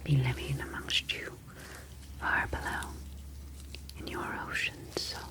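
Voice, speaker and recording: Slow whispering alien scientist played by a woman. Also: natural voice. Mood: apologetic, explaining. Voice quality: breathy, unvoiced whisper. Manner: slow, measured, formal phrasing. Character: calm, clinical ocean alien scientist, gently reassuring captor. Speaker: ASMR roleplay performer. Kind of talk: whispered ASMR sci-fi roleplay.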